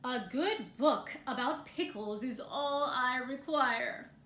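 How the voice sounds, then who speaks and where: A woman's voice: high voice, slow, a bit bright. A woman voicing a grumpy, pompous male bear from a children's storybook, imperious and bellowing in formal words. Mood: haughty, demanding. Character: loud grumpy pickle-loving bear, male, huffy and pompous. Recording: roomy echo, faint background noise.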